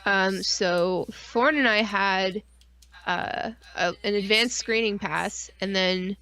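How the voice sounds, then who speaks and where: A woman's voice: medium pitch, slow, pushed and bright, smooth, rough recording. A woman co-hosting a podcast stream, chatting casually in a rambling, informal way with lots of filler 'like'. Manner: rambling and informal, frequent 'like' and mild swearing. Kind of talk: casual chat.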